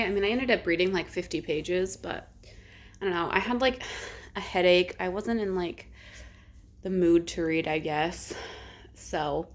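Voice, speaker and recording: A young woman chatting casually in a reading vlog, rambling with many fillers and sometimes dropping to a hush. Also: on the low side. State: congested. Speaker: book vlogger.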